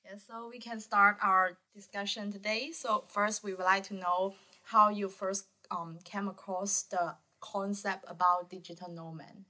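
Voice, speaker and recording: A young woman with a strikingly bright, sharp voice. She hosts an interview at a medium pitch, putting prepared questions to guests. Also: steady tone, a little slow. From Guangzhou, China. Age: early 20s. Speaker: interview host.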